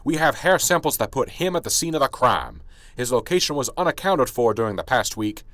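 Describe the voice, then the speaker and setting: A man's voice: medium pitch, sing-song, a little fast, fairly bright tone, dry recording. A man playing an older, weary but self-assured small-town police sergeant, speaking emphatically in an amateur radio drama. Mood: exasperated, insistent.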